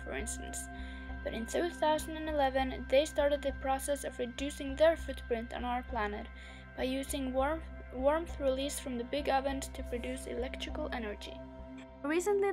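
A teenage young woman student reading scripted documentary narration. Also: sits high. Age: teens. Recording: music bed under the voice. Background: music.

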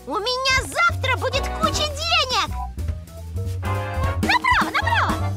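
Childlike cartoon piglet girl, squeaky sky-high voice, vain, impulsive, pouty, loud music behind. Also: wildly swooping pitch, unhurried, heavily acted. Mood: self-assured, excited. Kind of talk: animated cartoon voice acting.

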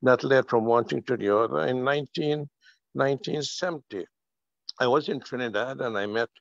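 An older man from Guyana telling his life story on a video call, slow and halting with many fillers. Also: medium pitch, vocal fry, no room sound. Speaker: guest speaker. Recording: muffled video call audio.

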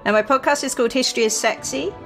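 A woman's voice: sits high, fast talker, creaky, fairly bright tone, faint music behind. A woman, a historian guest, explaining things casually in an interview.

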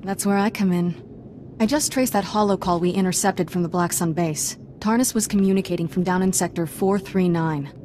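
Actress as plucky young Jedi Padawan, low female voice, wry, casual, game cutscene. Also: steady tone, moderate pace, warm tone, slight background noise, lightly acted. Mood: eager, earnest. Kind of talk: video game cutscene acting.

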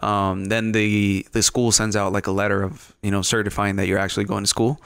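A man's voice: lowish voice, little pitch movement, a little fast, fairly bright tone, smooth, no room sound. A young man from Mexico with a Mexican accent, chatting casually as a podcast guest, close on a studio mic. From Ciudad Juarez, Mexico. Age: young adult. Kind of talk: casual chat. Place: small podcast studio, indoors. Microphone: close studio microphone, headphones.

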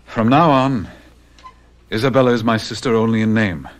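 An actor playing a stiff, distressed gentleman husband in an agitated, dramatic 1970s radio style. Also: fairly low pitch, normal speed, natural voice. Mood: shocked, bitter.

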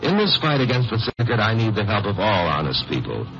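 An actor playing a wry, wisecracking lawyer-sleuth in a tongue-in-cheek 1950s radio crime drama. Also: male voice, on the low side, huge pitch swings, quick pace, fairly bright tone, heavily acted. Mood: wry narration. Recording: muffled old radio broadcast, music behind.

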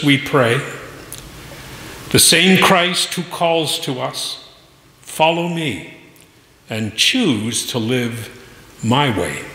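A middle-aged male minister speaks slowly and solemnly from a pulpit. His bright, forceful voice swings widely in pitch as he delivers prayer and sermon in deliberate phrases. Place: large church sanctuary, masked at pulpit. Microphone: pulpit microphone. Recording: echoey church hall, faint noise.

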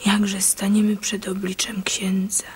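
An actress playing a meek, devout fisherman's wife in a radio play, in a breathy near whisper. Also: medium pitch, monotone, unhurried, slight background noise, natural voice. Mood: meek, hushed. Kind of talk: radio drama acting.

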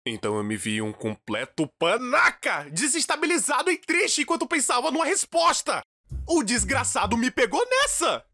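A man with a Brazilian accent narrating a comedic animated story in a theatrical, exaggerated way. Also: moderate pace, no room sound, soft background music. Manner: theatrical, exaggerated, swinging from low mutters to shrill outbursts.

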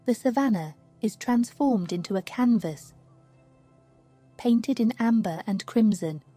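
A woman reading a scripted guided sleep meditation in a slow, soothing, hushed manner. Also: a little high, lively intonation. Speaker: meditation narrator. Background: music.

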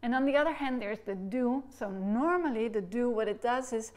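Dutch woman teaching recorder, rather high voice. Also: normal speed, darkish tone. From Netherlands. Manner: explains patiently and demonstrates syllables aloud with gestures. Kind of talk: demonstration lesson. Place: living room, seated on a sofa.

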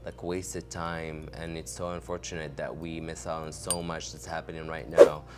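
A young man from Morocco, a fashion designer, answering interview questions in a relaxed studio chat full of filler words. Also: rumbling low, normal speed. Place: studio set, seated on a couch. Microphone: desk microphone on a stand. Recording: music playing underneath.

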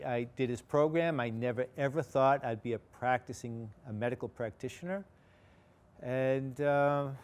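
An older man with a Boston accent, giving a casual guest lecture in a large hall and telling his story in a rambling way, full of restarts and fillers. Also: mid-range voice. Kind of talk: informal campus lecture.

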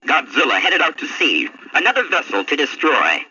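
Dramatic monster-tale narrator, forceful, animated, 1970s children's record, dull audio. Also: male voice, on the high side, moderate pace, slightly rough, distant mic, heavily acted. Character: dramatic storyteller of a monster adventure.

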